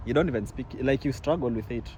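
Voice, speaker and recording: A man's voice: medium pitch, fast, mellow, slightly rough. A young man from Kenya hosting a casual chat in a probing, questioning manner. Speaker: vlog host, interviewer.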